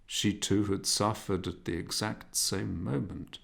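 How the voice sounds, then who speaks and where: Male audiobook narrator, lowish pitch, slow, gravelly creak, measured, expressive, literary. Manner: measured, expressive, dramatic reading.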